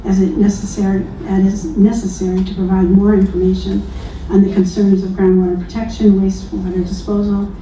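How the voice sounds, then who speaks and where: A woman from New Lebanon, New York, reading a prepared public comment in a measured, earnest way at a town hearing. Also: mid-range voice, little pitch movement, mellow, slight background noise. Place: town hall meeting room. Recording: echoey distant lo-fi hall recording.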